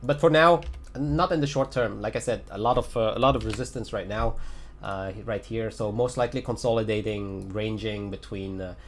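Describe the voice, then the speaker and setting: A man's voice: on the low side, moderate pace, slight background noise. A man giving casual livestream commentary on trading charts, hesitant and full of fillers, often repeating himself. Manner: hesitant, many fillers, repeats phrases, chuckles. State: voice getting raspy after a long stream. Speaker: crypto chart analyst on a livestream.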